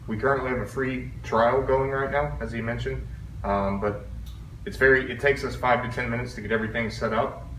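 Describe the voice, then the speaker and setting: A man's voice: mid-range voice, steady tone, brisk, fairly bright tone. A man answering questions at a meeting as a service representative, politely explaining. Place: large indoor public meeting room. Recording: echoey room, distant mic, clear noise.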